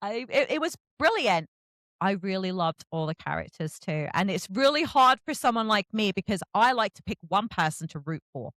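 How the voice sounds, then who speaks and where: A woman's voice: mid-range voice, big pitch swings, normal speed, fairly bright tone, dry recording. A woman hosting a casual interview, chatty and effusive, often acting out little bits of dialogue. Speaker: podcast host.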